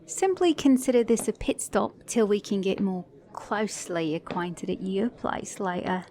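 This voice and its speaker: A woman's voice: mid-range voice, expressive, unhurried, warm tone, lightly acted. A woman voicing a flirty, sassy, caring mechanic in an intimate ASMR romance roleplay, teasing the listener as her date. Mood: flirty, sly. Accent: British or Australian.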